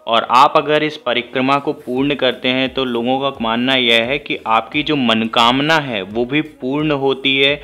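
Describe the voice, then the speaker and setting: A man's voice: medium pitch, fairly even intonation, a little slow, hardly any pauses, pushed and bright. A man vlogging outdoors around riverside temples, chatting to viewers as friends and giving tips for visitors. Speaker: travel vlogger. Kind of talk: casual travel vlog narration.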